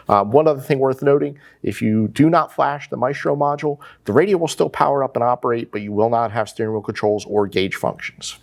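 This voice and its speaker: American man, forties, medium pitch, casual instructor, step-by-step tutorial delivery, plain-spoken. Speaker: product installation instructor.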